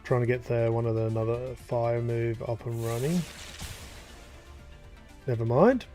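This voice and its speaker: Slow, mellow-voiced gaming commentator. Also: male voice, mid-range voice, soft background music. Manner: thinking aloud, unhurried, with fillers. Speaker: gaming YouTuber. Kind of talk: casual let's-play commentary.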